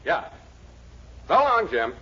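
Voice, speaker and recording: An actor playing a blustery, exasperated middle-aged American political boss in a 1940s radio comedy, excitable and patronizing. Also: male voice, fairly high pitch, slow, full character voice. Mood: resigned. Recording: muffled, slightly noisy 1940s radio recording.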